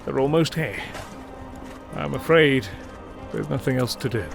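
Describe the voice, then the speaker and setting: A man voicing a gruff, decisive cavalry captain in a fantasy audiobook, urgent and commanding. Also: mid-range voice, measured pace, over music, voice pushed up. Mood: stern. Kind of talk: dramatised fantasy audiobook character.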